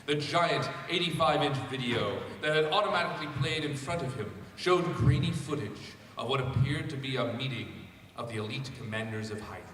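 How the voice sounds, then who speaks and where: A man reads a story aloud in a bright, forceful voice. He is picked up from a distance in a big, very echoey hall, at a convention panel. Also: medium pitch, a little fast, hardly any pauses, a little hiss or hum. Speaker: reader at a fan convention panel. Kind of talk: reading fan fiction aloud. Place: large convention panel room.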